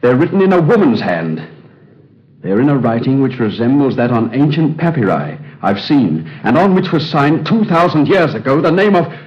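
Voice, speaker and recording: Casting: male actor as haunted, earnest English scholar, formal and agonized, widely swinging pitch, dull vintage radio sound. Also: big pitch swings, moderate pace, natural voice. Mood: insistent, troubled. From England. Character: troubled, earnest English Egyptologist haunted by the past. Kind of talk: old-time radio drama acting. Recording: dull, band-limited old radio recording.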